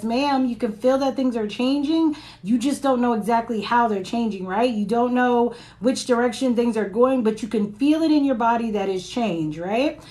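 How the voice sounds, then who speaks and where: Raspy lady reading tarot live on her phone, kinda high voice, chatty. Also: darkish tone. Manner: chatty, reads viewer comments aloud, laughs often. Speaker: tarot reader.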